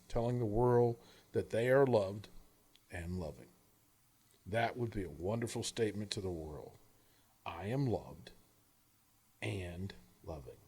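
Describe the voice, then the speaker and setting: Male audiobook narrator, mid-pitched, earnest and deliberate, using dramatic pauses between phrases. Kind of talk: scripted audiobook-style narration.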